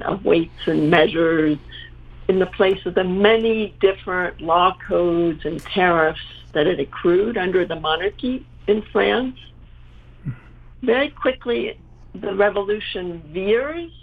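A woman historian lays out her subject in a steady, lecturing way. Her voice has a gravelly fry. It comes through a narrow, tinny phone-like line. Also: on the low side. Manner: measured, lecturing. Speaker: history professor, panel guest. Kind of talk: explanatory panel talk.

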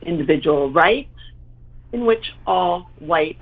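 A woman historian lays out her subject in a steady, lecturing way. Her voice has a gravelly fry. It comes through a narrow, tinny phone-like line. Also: on the low side. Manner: measured, lecturing. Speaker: history professor, panel guest. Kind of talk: explanatory panel talk.

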